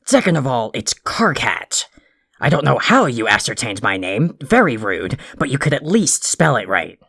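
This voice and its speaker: Actor as a condescending troll, creaky voice, dry sound, wordy and abrasive, audio drama. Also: medium pitch, big pitch swings, normal speed, fairly bright tone, dry recording, heavily acted. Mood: irritated, insulting. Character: irritable insulting troll boy apologizing grudgingly.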